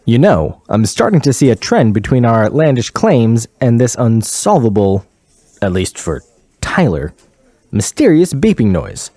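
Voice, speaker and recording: A man's voice: mid-range voice, big pitch swings, measured pace, warm tone, no room sound, studio quality. A man playing a sardonic, wordy radio sidekick in a scripted satirical comedy.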